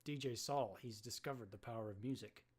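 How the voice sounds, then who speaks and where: A man voicing a grave agency boss who speaks low and quietly. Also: brisk, breathy edge, lightly acted. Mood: ominous. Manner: low, muttering and ominous.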